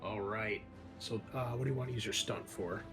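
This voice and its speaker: A man's voice: fairly low pitch, a little fast, fairly bright tone, faint music behind. A man game mastering a tabletop roleplaying game, explaining rules and narrating the action in casual talk.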